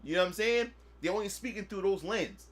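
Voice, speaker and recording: A man's voice: sits high, a little fast. A man in his thirties, chatting casually on a gaming livestream, rambling and repeating himself as he reminisces. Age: 30s. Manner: rambling, repetitive, often asks "you know what I'm saying". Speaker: gaming streamer.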